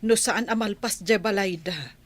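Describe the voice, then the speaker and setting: A woman's voice: mid-range voice, a little slow, lightly acted. A woman playing a concerned relative sharing news in an audio drama, with a Filipino accent. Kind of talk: Ilocano audio drama acting.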